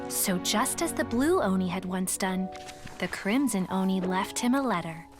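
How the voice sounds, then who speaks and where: This is a calm storyteller, voiced by a woman in a video game, telling an old folk tale. Her pitch is at an ordinary level, and game music plays clearly behind her. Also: normal speed, close to an everyday voice. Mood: storytelling. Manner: calm, warm, once-upon-a-time telling.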